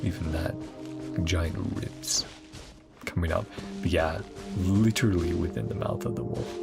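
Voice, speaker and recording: A man's voice: deep voice, unhurried, gravelly. A man giving casual reaction commentary on a game story, in trailing, fragmented remarks. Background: game music.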